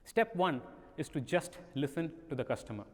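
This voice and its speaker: Conference speaker, Indian man, slightly high voice, accented, storytelling delivery, long hall reverb. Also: lively intonation, moderate pace. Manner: storytelling, rhetorical questions. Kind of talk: presentation talk.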